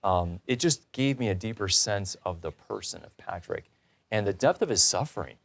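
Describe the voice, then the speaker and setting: A man's voice: fairly low pitch, animated, moderate pace, gravelly, fairly bright tone. A man hosting a religious talk show, interviewing in an earnest, reflective, conversational way.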